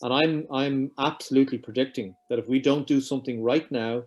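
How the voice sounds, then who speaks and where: A man's voice: mid-range voice, steady tone, brisk, darkish tone. Emphatic man on a panel. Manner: emphatic, casual with fillers. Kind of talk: panel discussion.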